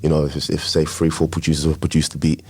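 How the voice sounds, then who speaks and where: A man's voice: rumbling low, monotone, fast, a little mellow, slightly rough, no echo. A man with a London accent chatting casually and slangily as an interview guest, a music producer. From London, England. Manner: slangy, informal.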